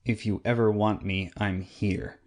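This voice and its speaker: A woman reading aloud, voicing an ugly old humpbacked man who snarls slow threats. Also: rumbling low, fairly even intonation, a little mellow, voice pushed down. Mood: menacing. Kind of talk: audiobook reading.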